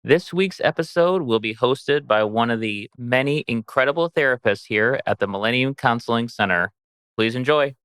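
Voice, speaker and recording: A man's voice: medium pitch, normal speed, a bit bright, clean tone, no echo, clean studio sound. A man reading a scripted podcast introduction as an announcer. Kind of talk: scripted narration. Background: music.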